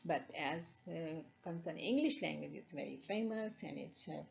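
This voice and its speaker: A woman's voice: low voice, animated, moderate pace, gravelly. A woman with a Pakistani accent, a university lecturer explaining over slides, with many restarts and fillers. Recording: dull lo-fi recording.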